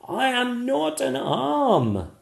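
A man's voice: very high voice, wildly swooping pitch, drawn-out words, creaky, reverberant, voice pushed up, exaggerated voice. A man voicing a small, brave stick figure who keeps insisting who he is in a sing-song refrain. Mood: indignant.

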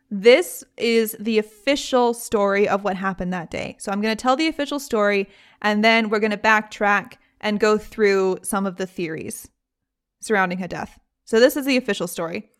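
A young British woman hosting a podcast, telling a story in a casual, chatty way with lively asides. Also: medium pitch, smooth. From Britain. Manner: chatty, animated, frequent laughs and asides. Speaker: podcast host.

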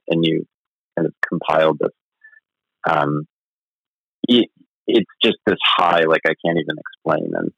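Low-voiced, hesitant man on a muffled line. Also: gravelly, dry recording. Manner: rambling and hesitant, full of restarts, self-deprecating. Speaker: novelist guest. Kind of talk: casual interview chat. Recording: slightly muffled dry sound.

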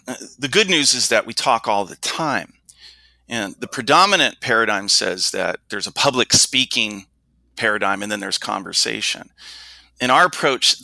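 A man working as a speaking coach, answering interview questions in an explanatory way and slowing down to stress his points. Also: mid-range voice, vocal fry, sharp tone, no echo, rough recording.

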